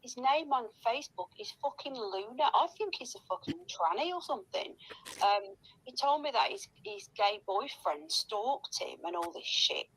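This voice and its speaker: A woman's voice: on the high side, animated, normal speed, fairly bright tone, poor audio. A woman recounting gossip casually, sweary and indignant.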